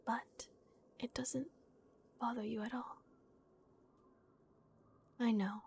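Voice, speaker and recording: A woman voicing a gentle, remorseful vampire guardian in a breathy, hushed whisper, as an ASMR roleplay. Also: fairly high pitch, fairly even intonation, slight background noise. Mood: anxious, hesitant. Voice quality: breathy, whispered with creaky edges. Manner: slow, hushed, hesitant pauses. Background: dark castle ambience.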